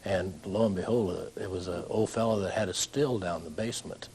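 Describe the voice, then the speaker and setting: An older man tells an anecdote in a casual, halting way. His voice is very low, and there is faint noise in the room around him. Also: a little mellow. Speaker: interviewee recalling the past. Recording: noisy room ambience.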